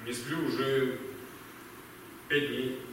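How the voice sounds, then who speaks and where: A man's voice: medium pitch, steady tone, takes it slowly, close to an everyday voice. A man in an amateur audio play acting a brusque, commanding army captain who gives curt orders. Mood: subdued. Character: brusque commanding male army captain drilling young recruits. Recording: echoey hall, distant mic, faint noise.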